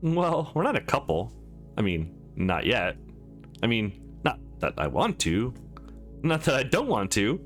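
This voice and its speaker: A man's voice: mid-range voice, wildly swooping pitch, a little slow, a bit bright. A man voicing a shy, sweet young woman in a game, bashful and hesitant, with a lilting delivery. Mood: flustered. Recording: music behind the voice.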